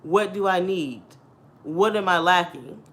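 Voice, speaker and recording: A woman in her thirties with a deep, husky voice, talking candidly to her viewers in a casual chat and laughing often. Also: unhurried, fairly bright tone. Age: 30s. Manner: chatty and candid, laughs often, addresses viewers as "girl" and "y'all". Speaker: vlogger.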